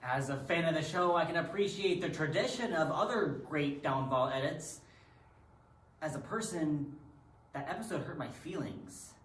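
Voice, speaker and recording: A man's voice: fairly high pitch, animated, moderate pace, fairly bright tone. A man performing in a readers theatre piece with rehearsed, theatrical delivery. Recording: echoey room, distant from microphone.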